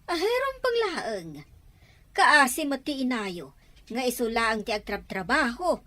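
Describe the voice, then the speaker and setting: A woman's voice: sits high, big pitch swings, unhurried, sharp tone, heavily acted. A woman with a Filipino accent acting an insistent, nagging neighbour in an audio drama. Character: insistent younger neighbour woman nagging about debts and rent.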